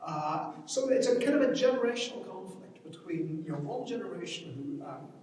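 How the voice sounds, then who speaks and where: An Irish man gives an informal literary talk, hesitating and doubling back on his words as he thinks. He is heard from far off in a hugely reverberant hall, with clear noise in the recording. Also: on the high side, a little mellow. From Ireland. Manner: halting and hesitant, often repeating words as he searches for phrasing. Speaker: academic speaker commenting on a play. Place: large echoing hall at a public event. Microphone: distant microphone. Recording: very echoey hall from far off, noisy.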